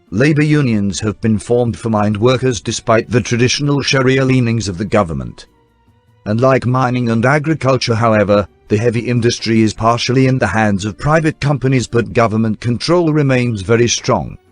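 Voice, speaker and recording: A man reading scripted newsreel documentary narration in a formal, measured announcer style. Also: medium pitch, no echo. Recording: dry voice-over with faint music bed. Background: faint background music.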